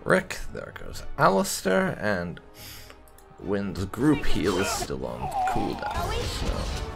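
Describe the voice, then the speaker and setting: A man giving casual, expressive, conversational video game commentary with some light roleplay. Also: on the low side, takes it slowly, gravelly. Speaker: gaming channel host. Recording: faint game music behind.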